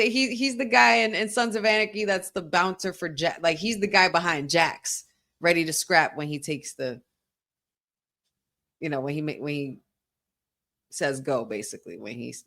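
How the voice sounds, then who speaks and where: Lady hosting a watch-along, kinda low voice, hyped and chatty, says like a lot. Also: normal speed. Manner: excitable, chatty fan talk with frequent "like". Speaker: podcast co-host. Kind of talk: casual watch-along commentary.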